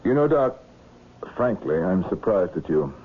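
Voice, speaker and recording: An actor playing a stern, no-nonsense police inspector in a 1970s radio drama, measured and matter-of-fact. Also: male voice, deep voice, big pitch swings, breathy edge, exaggerated voice. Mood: stern, accusing. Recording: muffled vintage radio recording with hiss.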